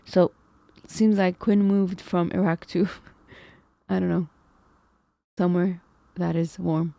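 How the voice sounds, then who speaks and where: Woman streamer, slow and musing, gravelly and mellow, dry close sound, slightly worn voice. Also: fairly low pitch, flat delivery, dry recording. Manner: talks to chat, muses and second-guesses herself. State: feels her voice is going. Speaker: live streamer. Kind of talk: thinking aloud while solving math problems.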